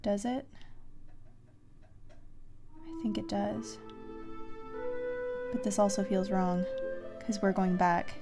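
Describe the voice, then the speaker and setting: A woman streams a game in a creaky voice at a medium pitch, slipping into vocal fry. She thinks aloud in casual, self-directed commentary with mild exclamations. Music plays clearly behind her. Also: steady tone, takes it slowly, long pauses. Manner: self-talking, with mild exclamations and sing-song filler syllables.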